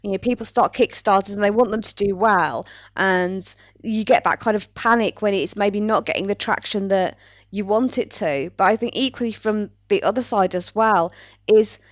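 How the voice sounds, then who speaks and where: A woman's voice: mid-range voice, moderate pace, no echo. A woman speaking in an articulate, considered way as a panellist in a casual podcast chat.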